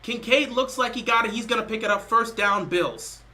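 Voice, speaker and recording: An excitable young man calls a football game play by play on his live stream. His voice sits high and rings bright and forceful, and between plays he slips into loose chat. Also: moderate pace. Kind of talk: casual play-by-play commentary.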